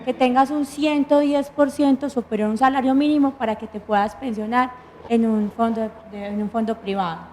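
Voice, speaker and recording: A woman with a Colombian accent and a fairly high voice lays out pension rules step by step. She poses questions and then answers them herself, in the even tone of a guest lawyer on a talk show. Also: moderate pace, slight background noise. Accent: Colombian Spanish. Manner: patient, methodical, lists points and answers her own questions. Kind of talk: explanatory studio talk.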